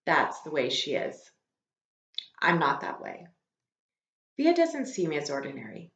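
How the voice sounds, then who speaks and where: A woman's voice: low voice, wildly swooping pitch, a little slow, vocal fry, a bit bright. A woman teacher reading a book aloud to a class in a conversational, explanatory way. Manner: conversational, explanatory, poses questions to listeners. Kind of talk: read-aloud with asides to students. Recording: roomy echo.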